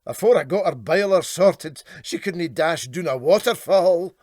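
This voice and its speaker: Storybook engineer played by a man: Scottish, sardonic, derisive, high-pitched with big swoops. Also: animated, normal speed, a little mellow, dry recording, clean studio sound. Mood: cynical. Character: cynical, mocking Scottish ship's engineer.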